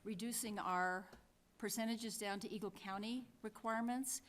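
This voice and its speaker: A woman's voice: medium pitch, fairly even intonation, normal speed. A woman chairing a town council meeting, speaking plainly and thinking aloud with frequent restarts.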